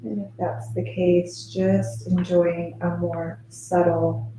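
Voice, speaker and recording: A woman guides a meditation in a lowish, very soft-edged and mellow voice. She is soothing and unhurried, leaving space between phrases. The recording is roomy and echoing, with faint noise behind her. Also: little pitch movement. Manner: soothing, gentle, unhurried with long pauses. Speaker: yoga teacher leading guided meditation. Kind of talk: guided meditation, yoga nidra instruction.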